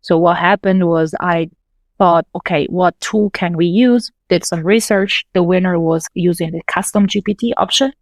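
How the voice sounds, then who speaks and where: An Italian-born woman speaks with a fairly low, steady voice, working through her points step by step with the odd filler. The sound is dry and close, with no room around it. She comes across as a podcast guest in easy conversation. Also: normal speed, dry recording. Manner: thoughtful, explains step by step with fillers. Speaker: podcast guest, localization manager. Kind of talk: casual interview talk.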